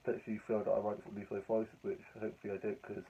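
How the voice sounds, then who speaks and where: A young man with a hoarse, croaky voice from a cold, chatting casually in a rambling, upbeat vlog. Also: on the low side, flat delivery, fast talker, dark tone. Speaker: vlogger. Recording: tinny phone-like audio.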